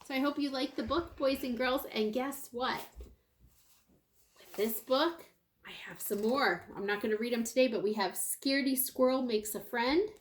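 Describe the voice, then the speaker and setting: A woman reading a children's storybook aloud like a kindergarten teacher, with expressive, deliberate phrasing for young listeners. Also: high-pitched. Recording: echoey room with faint noise.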